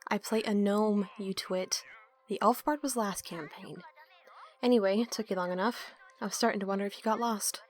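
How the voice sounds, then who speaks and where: Casting: woman to play a sarcastic young student sidekick to thieves, put-upon and snarky, medium pitch. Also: steady tone, moderate pace, natural voice. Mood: teasing. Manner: sarcastic, bantering. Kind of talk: audio drama roleplay dialogue.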